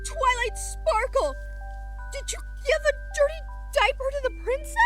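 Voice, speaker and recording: A woman voicing an excitable, scolding mother pony with emphatic exclamations in a cartoon-like dramatic reading. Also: very high-pitched, normal speed, faint music behind. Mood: shocked, scolding.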